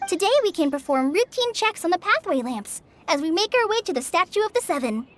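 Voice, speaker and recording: An earnest, very high-voiced young ranger. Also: female voice, sing-song, brisk. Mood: eager. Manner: earnest, helpful, explanatory. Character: earnest, eager young trainee forest ranger, youthful and dutiful. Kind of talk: video game voice acting. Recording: music behind the voice.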